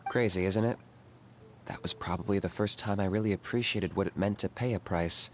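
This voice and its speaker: An actor playing a calm, pragmatic young male hunter who speaks evenly and measuredly. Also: deep voice, a little hiss or hum, close to an everyday voice. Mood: explaining. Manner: calm, measured, even-tempered.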